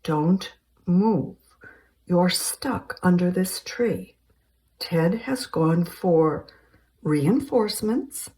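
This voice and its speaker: A woman's voice: fairly low pitch, animated, extremely slow, warm tone. A woman voicing a fussy, nervous British man with a British accent, fretting as he speaks. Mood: fretful.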